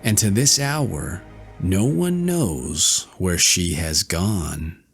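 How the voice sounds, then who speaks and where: Slow, gravelly male storyteller. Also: low-pitched, animated, soft background music. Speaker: narrator. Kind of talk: audiobook fairy tale reading.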